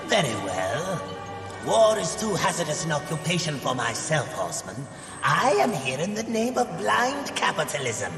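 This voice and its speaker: A man's voice: on the high side, huge pitch swings, normal speed. A man playing a sly male demon merchant of souls in a video game, with an oily, theatrical, flowery delivery. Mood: salesman's flourish. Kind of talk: video game character acting. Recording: echoing hall, music behind.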